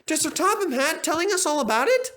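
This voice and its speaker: Squeaky, excitable little engine. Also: male voice, fast, exaggerated voice. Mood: indignant, insistent. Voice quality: squeaky, high-pitched. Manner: eager, chattering, emphatic. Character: excitable, earnest young male tank engine. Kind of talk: animated children's story character voice.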